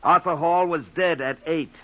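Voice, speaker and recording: An actor playing a skeptical, needling American police lieutenant in an old radio crime drama, brisk and casual. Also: male voice, fairly high pitch, fairly bright tone, no echo, natural voice. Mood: skeptical, firm. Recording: dull lo-fi old radio recording.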